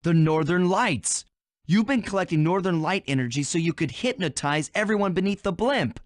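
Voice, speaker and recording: A man's voice: sits high, animated, moderate pace, dry recording, clean studio sound. A man playing a cool, confident young thief, a cartoon animal hero in a video game, with a wry, casual manner. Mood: accusing. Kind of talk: video game character acting.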